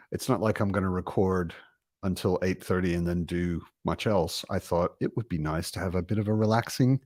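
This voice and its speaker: A man talks unhurriedly in a fairly low voice, drifting from thought to thought with plenty of ums and restarts. He is hosting a relaxed book livestream and answering viewer comments. The sound is close and dry, with no room echo. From Australia. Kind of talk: casual livestream chat reading out viewer comments. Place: home, in Berlin.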